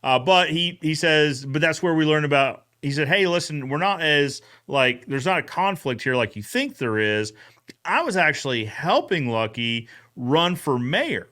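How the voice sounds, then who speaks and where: A man's voice: a little high, lively intonation, normal speed, sharp tone. A man co-hosting a casual recap chat, often retelling conversations by quoting both sides. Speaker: podcast co-host.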